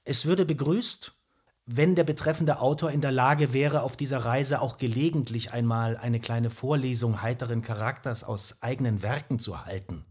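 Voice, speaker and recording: An actor playing a formal Wehrmacht captain reading out an official letter in a stiff, bureaucratic, measured manner in a radio play. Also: male voice, mid-range voice, a little mellow, slight background noise, natural voice.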